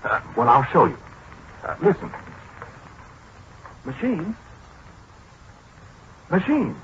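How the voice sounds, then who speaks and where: An actor playing a flustered, earnest, halting man in a 1940s radio drama. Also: on the high side, huge pitch swings, a bit bright, dull audio, full character voice. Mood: agitated, pleading. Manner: hesitant, halting, stammering. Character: flustered, earnest man convinced his machine talks. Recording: noisy, band-limited 1940s radio recording.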